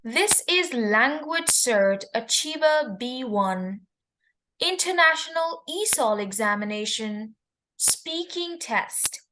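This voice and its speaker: Slow, high-voiced woman examiner. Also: animated, noisy background. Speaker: exam interlocutor. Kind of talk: mock speaking test.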